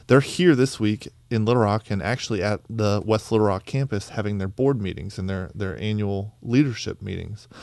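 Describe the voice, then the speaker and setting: A man's voice: fairly low pitch, a little mellow, no echo. A man hosting a church podcast in a friendly, chatty way. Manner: friendly, chatty, with fillers and restarts. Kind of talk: casual interview chat.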